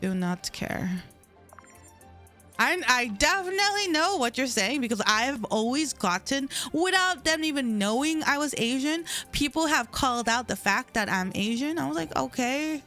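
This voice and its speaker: Female streamer, slightly high voice, slight lisp, mumbly and stumbling casual chat, low music bed. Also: lively intonation, moderate pace, pushed and bright. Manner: mumbles and stumbles over words. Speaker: game streamer. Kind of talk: casual live-stream chat while playing.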